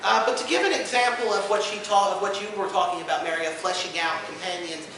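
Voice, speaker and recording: A very echoey, noisy room carries this man's voice from some distance. He is presenting at a fan panel in a high-pitched voice, informal and detailed in his explanations. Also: fast, crowd noise. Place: convention panel room, indoors.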